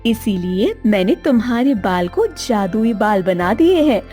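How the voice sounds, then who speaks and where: A woman's voice: mid-range voice, very animated, measured pace, mellow. A woman playing a solemn, benevolent goddess who makes pronouncements in a fairy tale. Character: solemn, benevolent goddess testing a girl, granting magic. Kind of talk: voice acting in a Hindi fairy tale. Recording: music behind the voice.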